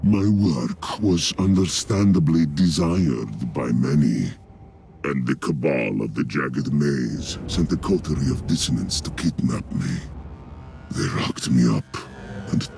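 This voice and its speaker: An actor playing a sinister, condescending alien scientist with a deep, gravelly, drawn-out voice in a video game cutscene. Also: male voice, warm tone, a little hiss or hum, heavily acted. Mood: scheming. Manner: condescending, grandiose. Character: sinister male alien torturer-scientist, arrogant and cold.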